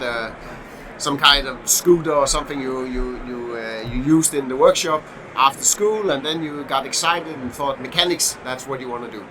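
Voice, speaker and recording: A middle-aged man from Denmark with a Danish accent, a podcast guest who talks in a halting way with many fillers and restarts. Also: mid-range voice. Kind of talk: casual podcast interview. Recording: noisy background hum.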